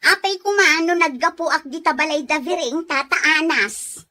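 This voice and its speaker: A village wife and mother from a Filipino radio drama. Her voice sits high and has a bright, forceful edge. She comes across as outspoken and quick to defend herself. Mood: indignant.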